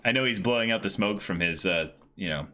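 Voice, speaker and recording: A man's voice: lowish voice, a little fast, bright, forceful tone, slight background noise. A man chatting casually and reacting as co-host of a comic reading stream.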